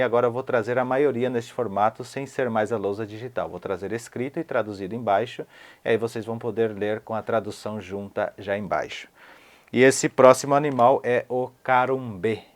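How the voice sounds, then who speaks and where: Man teaching words with slow repetition. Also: mid-range voice. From Paraguay. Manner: repeats words slowly to show pronunciation. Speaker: language teacher. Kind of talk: casual online lesson.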